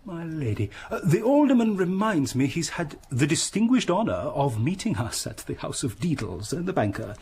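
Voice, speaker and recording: Husky, pompous English gentleman. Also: fairly high pitch, full character voice. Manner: pompous, blustering, hesitant, grandly sermonizing. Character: pompous, wealthy, self-satisfied gentleman and member of parliament. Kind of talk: period radio drama acting.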